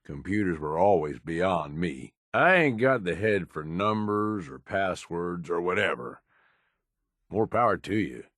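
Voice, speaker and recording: An actor playing a gruff, cynical middle-aged American raider lieutenant in a video game, blunt and folksy with a drawl. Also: male voice, expressive, a little slow, a bit bright, dry recording, clean studio sound, full character voice. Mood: grudging. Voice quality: low, gruff. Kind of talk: video game companion voice lines.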